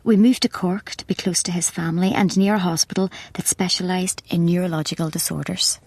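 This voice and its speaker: Husky, lowish woman narrator. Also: steady tone, brisk, darkish tone, dry recording. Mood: sombre, reflective. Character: sombre young woman looking back on hard years. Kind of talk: reflective first-person radio drama narration.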